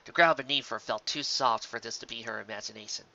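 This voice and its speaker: A dull, lo-fi recording of a man narrating a story. His voice sits at a middle pitch with a bright, forceful edge, and he reads fan fiction expressively. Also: fast talker, vocal fry. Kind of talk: expressive story narration with occasional casual asides.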